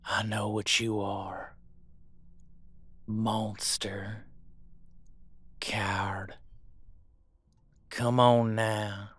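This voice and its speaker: A man's voice: lowish voice, little pitch movement, very slow, long pauses, a bit bright, full character voice. A man voicing a vengeful, taunting monster hunter with a menacing drawl in an audio roleplay.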